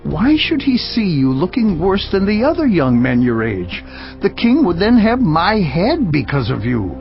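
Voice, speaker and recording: Scared royal official, voice jumping about, faint music, slightly muffled, bible audio drama. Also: male voice, high-pitched, huge pitch swings, measured pace, vocal fry, full character voice. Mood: afraid. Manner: anxious, protesting. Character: fearful, worried male palace official.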